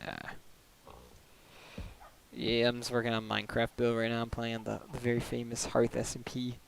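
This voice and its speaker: A young man streaming a video game, chatting casually and rambling with lots of "like" fillers and quick laughs, into a desk microphone at home. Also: medium pitch, completely flat delivery, vocal fry, a little hiss or hum. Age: young adult. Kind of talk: casual chat while gaming.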